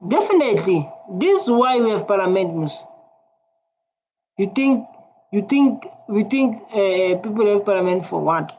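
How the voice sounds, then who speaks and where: A man's voice: high-pitched, very animated, a little slow, a little mellow. A South African man with a Tswana accent, whose first language is Setswana, giving casual, repetitive reaction commentary. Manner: repetitive, rambling, emphatic. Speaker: online commentator. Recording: dull lo-fi, faint noise.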